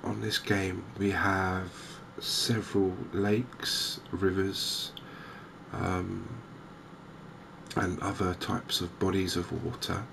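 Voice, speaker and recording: A man giving softly spoken, gentle ASMR game commentary in an unhurried, hesitant way. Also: low voice, level tone, gravelly, background noise. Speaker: host of a cozy gaming channel.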